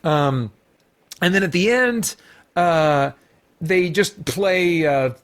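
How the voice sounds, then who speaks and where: Casting: American man, slightly high voice, hosting an off-the-cuff review, halting delivery that wanders as he thinks out loud. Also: animated. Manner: halting, rambling, thinking aloud. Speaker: review show host. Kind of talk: casual unscripted film review.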